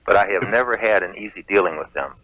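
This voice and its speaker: A man's voice: deep voice, fast talker, no echo. A man calling in to a radio show, talking casually. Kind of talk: casual phone-in conversation. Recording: dull lo-fi call-in radio tape.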